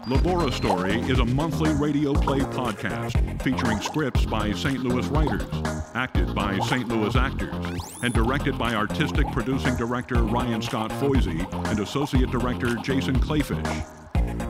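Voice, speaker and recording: Casting: male announcer, medium pitch, scripted podcast opener, prominent music bed. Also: moderate pace. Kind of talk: scripted promotional podcast intro. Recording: loud music behind the voice. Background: loud music.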